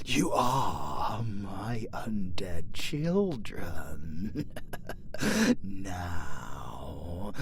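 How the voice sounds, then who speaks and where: A British man voicing a gleeful, darkly flirtatious undead necromancer villain in a theatrical audio roleplay, swinging between hushed murmurs and shrill outbursts. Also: creaky, exaggerated voice. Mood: doting, sinister. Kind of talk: dark comedic audio roleplay acting.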